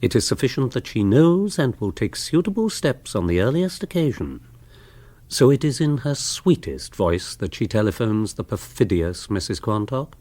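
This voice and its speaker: A man's voice: mid-range voice, sing-song, moderate pace, a little mellow, dry recording. A man with an English accent narrating a dramatised comic novel in a wry storytelling style.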